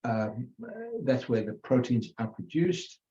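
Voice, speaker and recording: An older man giving an informal biology lecture, halting with many fillers and self-corrections. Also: medium pitch, dark tone. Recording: echoey room, dull lo-fi sound.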